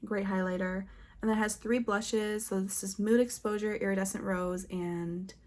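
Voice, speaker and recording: A woman's voice: mid-range voice, steady tone, normal speed, a little mellow. A woman beauty vlogger talking casually and chattily through products, with conversational trailing asides. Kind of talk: casual product show-and-tell.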